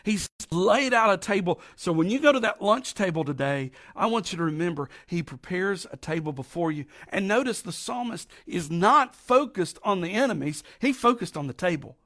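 A middle-aged man with a raspy voice from allergies, preaching a folksy, emphatic sermon with slow drawn-out stresses. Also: sits high, lively intonation, fairly bright tone. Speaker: pastor.

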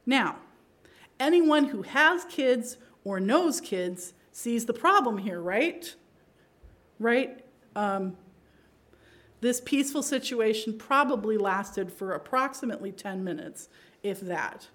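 A woman's voice: a little high, animated, slow, fairly bright tone. A middle-aged woman who grew up in Pakistan gives a sermon in a conversational style and often checks in with the listeners. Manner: conversational, rhetorical tags. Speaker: preacher.

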